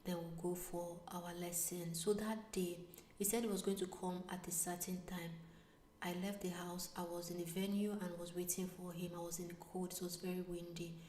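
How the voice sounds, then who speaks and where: A woman vlogger telling a personal story in a casual, animated way, sometimes dropping to a whisper. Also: lowish voice, moderate pace, recorded from a distance, slight background noise. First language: not Italian. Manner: animated, drops to a whisper for asides. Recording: echoey room, voice away from mic.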